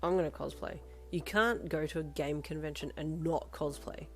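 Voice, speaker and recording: A woman's voice: deep voice, steady tone, normal speed. Story role: laid-back, keen student boy gamer, read by a woman, slangy offhand delivery. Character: casual, eager teenage boy gamer.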